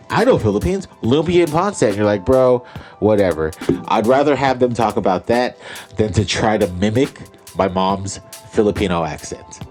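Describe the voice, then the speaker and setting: A man co-hosting a podcast in casual chat, making his points with emphasis and questions. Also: on the low side. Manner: animated, argues with emphasis, asks questions. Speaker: podcast co-host.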